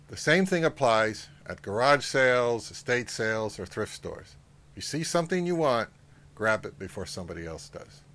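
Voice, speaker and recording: A middle-aged man giving advice to camera as a channel host, speaking in a measured, instructive way. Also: medium pitch, big pitch swings, fairly bright tone. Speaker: host of a vintage audio channel. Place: outdoors, among trees or on a porch.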